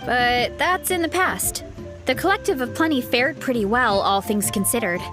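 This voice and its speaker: Woman playing a high-voiced cheerful young athlete. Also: normal speed, over music, close to an everyday voice. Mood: breezy. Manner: breezy, modest, encouraging. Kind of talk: video game voice acting.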